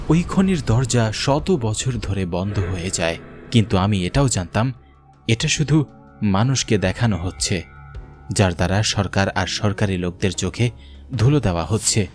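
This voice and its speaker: Guy narrating a scary story as the main character, lowish voice, loud music behind. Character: haunted government officer recounting his mine visit. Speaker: narrator of a horror cartoon story. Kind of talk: scripted first-person horror narration.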